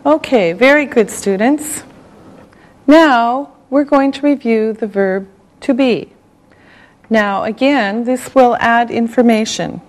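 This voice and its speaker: Woman, English teacher, higher-pitched voice, extremely slow pace, deliberate word-by-word enunciation. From Canada. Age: middle-aged. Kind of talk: slow classroom language lesson. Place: television studio classroom set.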